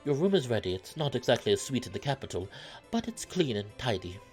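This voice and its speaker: A man's voice: mid-range voice, sing-song, fast talker, a little mellow, soft background music, voice pushed up, close to an everyday voice. Man playing a firm, hospitable beast innkeeper. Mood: matter-of-fact. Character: gruff, hospitable male innkeeper with a tail.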